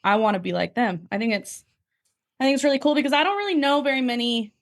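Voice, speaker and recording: A woman's voice: on the high side, lively intonation, quick pace. A young woman chatting casually as a podcast guest, restarting phrases and often tagging them with "right?". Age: young adult. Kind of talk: casual sports podcast chat.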